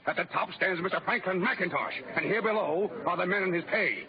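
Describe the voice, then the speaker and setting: High-pitched crusading civic spokesman played by a man. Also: fairly quick, natural voice. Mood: indignant, insistent. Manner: declamatory, formal, insistent. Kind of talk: old-time radio drama acting. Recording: muffled old radio recording.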